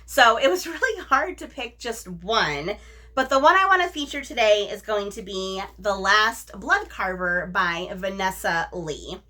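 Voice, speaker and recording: A woman's voice: medium pitch, expressive, a little slow, sharp tone. A woman hosting a book review video, chatting casually and enthusiastically to her viewers. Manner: enthusiastic, gushing.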